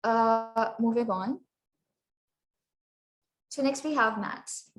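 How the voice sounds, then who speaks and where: A young woman from Pakistan, raised speaking Sindhi, with a Pakistani English accent, giving a casual workshop presentation full of fillers and quick asides in Urdu. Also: on the high side. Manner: chatty, many fillers, slips into Urdu phrases.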